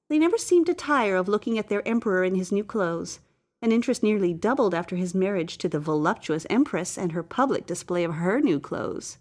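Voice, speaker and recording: A woman reads a satirical tale in a mid-range voice. Her scripted storybook narration is theatrical and deliberate, with stretched emphasis on chosen words. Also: mid-range voice, a little mellow. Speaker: story reader.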